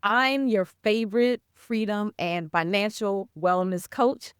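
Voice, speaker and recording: Woman podcast host and coach, upbeat, unhurried delivery, dry room. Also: mid-range voice, steady tone, clean tone, no echo. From Mexico. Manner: upbeat, promotional, rhetorical questions. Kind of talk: podcast hosting.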